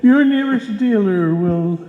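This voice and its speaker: A man's voice: sits high, animated, slow. A man playing a pompous, hammy salesman who declaims like a Shakespearean actor in an old-time radio comedy. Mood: grandly declaiming. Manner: theatrical, pompous, grandly declaiming. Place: live performance in a reverberant hall. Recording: echoey hall, dull live stage sound.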